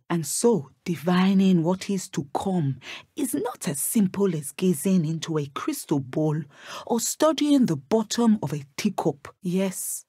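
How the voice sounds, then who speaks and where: A wise, dignified professor of divination in a fantasy video game, speaks. Her voice is husky and lively in pitch, recorded very dry. She phrases things formally and with care, like a protective middle-aged teacher. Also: no room sound. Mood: measured, earnest. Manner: measured, formal, enunciated phrasing. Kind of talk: scripted game dialogue.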